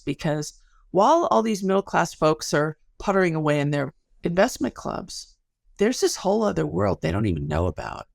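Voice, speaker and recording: A woman's voice: lowish voice, gravelly, dry recording, clean studio sound. A middle-aged American woman explaining her research as an interview guest in an informal, articulate, storytelling way. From Chicago area, United States.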